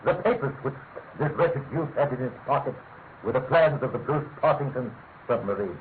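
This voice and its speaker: A man acts out a fussy, self-important British government grandee in a radio play. He speaks at a middle pitch, halting and then pressing his words with grave emphasis. The sound is thin and tinny, with a clear layer of noise. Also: animated, close to an everyday voice. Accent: British English. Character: pompous, fussy male senior government official, gravely insistent. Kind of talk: classic British radio mystery acting. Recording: tinny, narrow-band radio recording with hiss.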